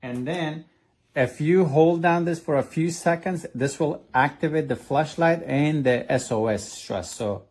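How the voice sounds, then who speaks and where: A man's voice: fairly high pitch, unhurried, a little mellow. A man reviewing a product in a casual, hands-on way, explaining step by step with some hesitation. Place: indoors at a desk.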